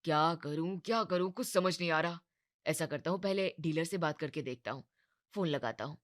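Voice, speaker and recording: Woman voicing a weary, hesitant young shopkeeper man, low-pitched. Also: normal speed, lightly acted. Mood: hesitant, torn. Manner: hesitant, resigned. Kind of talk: Hindi animated moral story dialogue.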